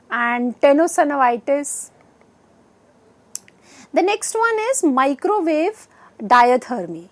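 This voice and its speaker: A middle-aged woman with an Indian accent gives a scripted educational lecture, reading out in short, halting phrases into a lapel mic. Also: sits high, dry recording. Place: indoor studio.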